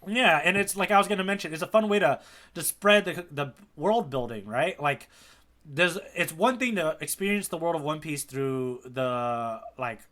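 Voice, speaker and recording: A man with a bright, slightly high voice talks as a podcast guide. His delivery is casual and slangy, full of geeky enthusiasm. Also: lively intonation, moderate pace. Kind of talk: casual chat about a manga.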